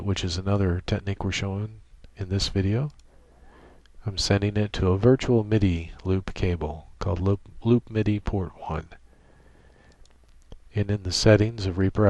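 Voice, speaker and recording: A man giving an unscripted software tutorial in a methodical, technical way with halting phrasing, close on a USB microphone. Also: deep voice, dry recording. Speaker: tutorial presenter.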